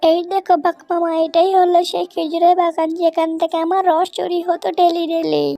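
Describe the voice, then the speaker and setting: A woman's voice: very high voice, little pitch movement, brisk, creaky, warm tone, no room sound, faint music behind, pitched above the performer's own voice. A woman voicing an anxious old male fox in an amateur cartoon, muttering fretfully and repeating himself. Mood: eager, indignant.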